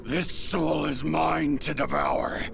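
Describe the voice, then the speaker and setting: An actor playing an angry, defiant dark warrior hungry for power in a video game, snarling his lines theatrically. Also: a little high, fairly even intonation, slow pace, creaky, background noise, exaggerated voice. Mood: menacing, gloating. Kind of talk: intense dramatic video game acting. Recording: loud game music behind.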